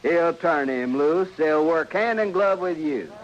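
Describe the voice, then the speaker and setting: An American actor playing a belligerent, sneering frontier rabble-rouser in an old-time radio western, theatrical and emphatic. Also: male voice, on the high side, animated, a little slow. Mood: accusing, scornful. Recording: muffled vintage radio recording, hissy.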